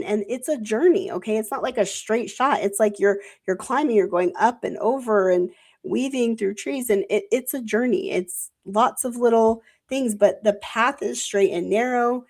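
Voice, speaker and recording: A woman's voice: on the high side, normal speed, a little mellow. A woman who is sick and losing her voice talks in a casual, rambling way about religious ideas on a livestream, with many fillers. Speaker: co-host.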